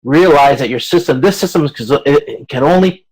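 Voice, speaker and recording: A man's voice: on the high side, fairly quick, darkish tone, rough recording. A man giving an informal lecture over a video conference, explaining in colloquial language and often acting out short hypothetical remarks. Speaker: lecturer in supply chain management.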